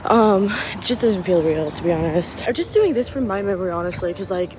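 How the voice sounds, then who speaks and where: A woman's voice: lowish voice, brisk, slight background noise. Chatty young woman vlogging on a phone. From Morocco. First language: French. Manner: chatty and excitable, many fillers. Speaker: travel vlogger. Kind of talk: casual vlog chat. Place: outdoors and indoors while traveling.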